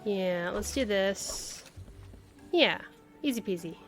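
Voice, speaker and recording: A woman's voice: medium pitch, expressive, slow pace. A woman streamer giving casual live game commentary, thinking aloud in short questions and asides. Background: faint game music.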